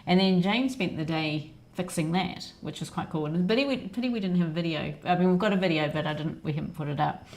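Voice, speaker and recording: A middle-aged woman with a slightly low, gravelly voice co-hosts a livestream. She recounts her week in a chatty, easygoing manner. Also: quick pace, few pauses, a little mellow. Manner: chatty storytelling, frequent laughs and backchannels. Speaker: co-host of a weekly livestream. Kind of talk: casual chat.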